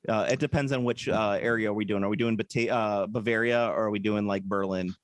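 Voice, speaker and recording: A man's voice: on the low side, steady tone, fast talker, dry recording. Podcast guy rambling, lots of ums and likes, jokey, dead dry sound. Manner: rambling and hesitant, many fillers, jokey. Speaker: podcast co-host. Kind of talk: casual chat. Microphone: podcast microphone.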